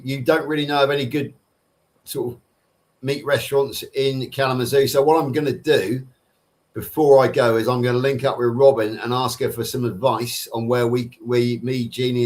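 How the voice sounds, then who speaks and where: A man's voice: medium pitch, steady tone, normal speed. A middle-aged man from Somerset with a nondescript Southern English accent, chatting casually on a livestream and answering viewers in a wordy, self-rephrasing way. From Somerset, England. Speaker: panel participant on a livestream. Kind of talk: casual live chat, answering viewers' questions.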